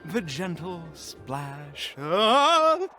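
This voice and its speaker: A man's voice: sits high, very animated, drawn-out words, sharp tone, soft background music, heavily acted. A man playing a pompous, sarcastic, grumpy evil wizard in a melodramatic fantasy audio roleplay. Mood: dry sarcasm. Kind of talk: fan audio roleplay acting.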